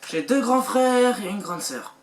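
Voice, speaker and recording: A teenage boy from France, a guest in a casual chat, answering in a hesitant, halting way. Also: extremely high pitch, lively intonation, recorded from a distance, crowd noise. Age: teens. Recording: echoey room, off-mic.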